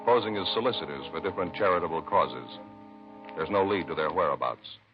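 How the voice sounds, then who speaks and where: A muffled vintage radio broadcast with music behind a male announcer. He has a fairly low voice with big swings in pitch, delivering punchy, solemn lines in a dramatic 1950s style. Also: expressive, fairly quick.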